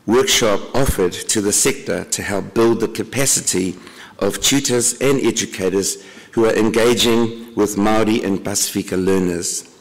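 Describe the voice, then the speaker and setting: A man introducing a conference plenary session, with dry deadpan jokes and frequent throat clearing. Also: lowish voice. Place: conference hall. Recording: long hall reverb.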